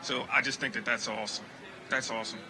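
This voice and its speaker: A man's voice: mid-range voice, fairly even intonation, fast, sharp tone, a little hiss or hum, rough recording. A man interviewing a musician at a festival, affirming and encouraging as he talks. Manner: affirming, short agreeing replies. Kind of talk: casual outdoor festival interview.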